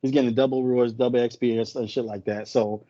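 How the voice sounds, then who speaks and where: A man with a mellow, warm voice retells a story in a loose, rambling way, stopping often to correct himself. English is not his first language. The sound is dry and a little muffled. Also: medium pitch, steady tone, fast, dry recording. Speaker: co-host. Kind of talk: casual podcast chat.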